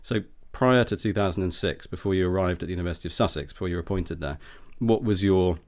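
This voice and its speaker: A man hosting an interview podcast, asking polite, measured questions. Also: low voice, a little mellow.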